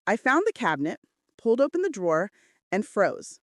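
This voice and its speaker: Woman narrating fiction with lively, swooping intonation. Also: mid-range voice, brisk, no echo, clean studio sound. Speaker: audiobook-style narrator. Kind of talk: dramatic scripted first-person romance narration.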